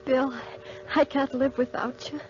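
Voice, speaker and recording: A woman's voice: high voice, flat delivery, measured pace, darkish tone, a little hiss or hum. An actress playing a desperate, lovesick working-class young woman in a 1940s radio melodrama, pleading in slangy American speech. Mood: earnest pleading. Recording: muffled, band-limited 1940s radio recording.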